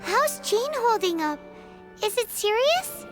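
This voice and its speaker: A woman voicing a small, childlike fairy companion in a video game, perky, blunt and cheeky, with a squeaky voice. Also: very animated, slow pace, over music, full character voice. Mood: concerned. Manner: refers to herself in third person, cheeky. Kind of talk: video game cutscene acting.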